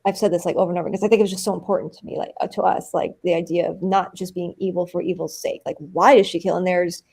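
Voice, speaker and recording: A woman's voice: lowish voice, fast talker, vocal fry, slightly rough. A woman, an actor, talking animatedly in a live-stream interview and filling her speech with "like". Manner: animated, uses many "like" fillers.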